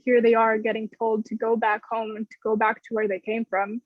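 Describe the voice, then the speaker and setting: A woman's voice: on the high side, monotone, a little fast, no echo. Young woman, hesitant, dull dry webcam. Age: young adult. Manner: hesitant, many "like" fillers. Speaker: student. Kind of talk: casual discussion on a video call. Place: bedroom with string lights. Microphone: laptop webcam on a video call.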